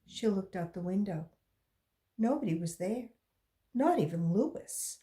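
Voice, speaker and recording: A volunteer reader from West Virginia, a woman reading a children's book aloud in a slow, expressive storytelling voice. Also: medium pitch.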